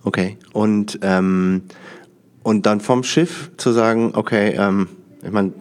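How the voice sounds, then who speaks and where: A man from the Lower Rhine in Germany hosting a conversational interview, asking informal, rambling questions that often end in tag questions. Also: steady tone, takes it slowly, darkish tone. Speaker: podcast interviewer.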